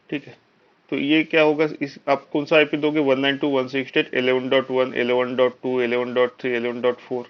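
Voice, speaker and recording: A man's voice: medium pitch, a little hiss or hum. A man with an Indian accent giving a live technical lecture as an instructor, explaining step by step with frequent check-in tags and mixing in English technical terms. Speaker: networking instructor. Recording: dull, lo-fi online class audio.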